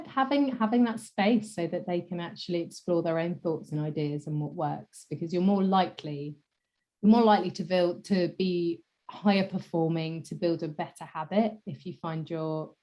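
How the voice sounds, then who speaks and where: A woman guest with a croaky voice, a communication coach in a conversational interview, thoughtful, using fillers, her sentence endings trailing off softly. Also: low-pitched, highs cut off.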